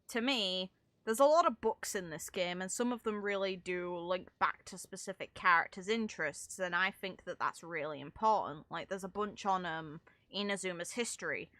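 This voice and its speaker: A woman game streamer giving casual, animated, unscripted reactions in informal, sweary language. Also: lowish voice, no room sound.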